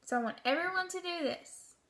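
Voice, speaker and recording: A woman teacher reading a storybook aloud and giving children instructions in a deliberate, expressive way. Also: extremely high pitch, noisy background.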